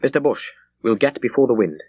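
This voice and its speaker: A man's voice: mid-range voice, expressive, fairly quick, warm tone, husky, dry recording. A male narrator voices a young sea captain from a historical audiobook. He is formal, courteous and authoritative, with clipped commands that ring out firmly in a dry recording. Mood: urgent, commanding. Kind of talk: dramatized audiobook character dialogue.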